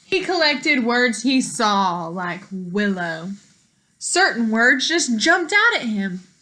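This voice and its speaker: A woman reading a picture book aloud to children in an expressive, teacherly way, pausing to explain words and put questions to young listeners. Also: on the high side, poor audio.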